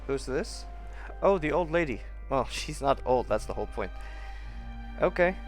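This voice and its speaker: A man's voice: medium pitch, animated, normal speed, over music. A man streaming a game, thinking aloud in casual, joking playthrough commentary.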